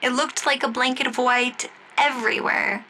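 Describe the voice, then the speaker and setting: A high-voiced woman tells a first-person story as in a 1940s radio drama. Her delivery is gentle and storybook-like, with music playing behind her. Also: steady tone, moderate pace, slight background noise. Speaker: storyteller and singer.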